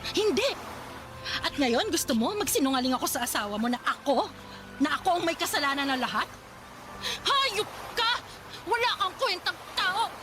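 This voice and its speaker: A woman's voice: very high voice, very animated, moderate pace, over music. An actress playing an angry, proud young woman scorned by her ex, in Filipino radio drama style with a Filipino accent. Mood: furious, hurt. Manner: indignant, confrontational, sarcastic.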